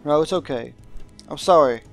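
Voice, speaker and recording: A man's voice: fairly high pitch, moderate pace, vocal fry, faint music behind. A man voicing a nervous, apologetic young male student in a visual novel, hesitant and evasive. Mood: wary.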